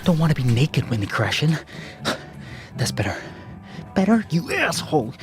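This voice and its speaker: An actor playing a jumpy, self-mocking young graduate student in a radio drama, husky and nervously muttering. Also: male voice, on the high side, big pitch swings, moderate pace, over music. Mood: frantic. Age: young adult. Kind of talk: radio drama acting, interior monologue.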